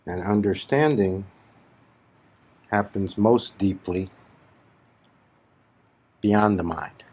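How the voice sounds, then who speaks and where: Low-voiced man, very slow guided meditation. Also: a little mellow. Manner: measured, deliberate, with long pauses between short phrases. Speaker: spiritual teacher leading a guided meditation.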